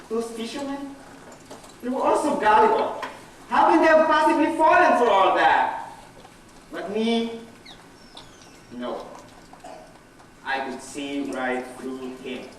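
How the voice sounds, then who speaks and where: An actor playing a bitter, guilt-ridden betrayer in a dramatic stage monologue, swinging from muttering to anguished outbursts. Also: male voice, very high-pitched, a bit bright, full character voice. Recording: very echoey hall from far off, faint noise.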